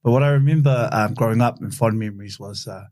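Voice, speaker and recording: A young man with a New Zealand accent talking in a relaxed interview, often tagging his sentences with "eh". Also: on the low side, fast. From West Auckland, New Zealand. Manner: relaxed, says "eh" a lot, frequent fillers. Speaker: podcast guest. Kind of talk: casual interview.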